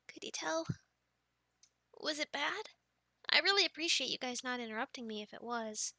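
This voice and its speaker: A young woman with a high, very bright voice performs ASMR roleplay. She has a playful, girlish delivery, recorded close and dry. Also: no echo. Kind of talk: ASMR roleplay, whispered storytelling and casual chat.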